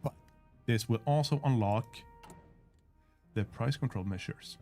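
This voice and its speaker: A man's voice: mid-range voice, unhurried, mellow, faint music behind. A man for whom English is a second language, casually walking through a strategy game on a developer livestream with frequent fillers and restarts. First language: not English. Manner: hesitant, many fillers and restarts. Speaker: game developer demonstrating gameplay. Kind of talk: casual livestream gameplay walkthrough.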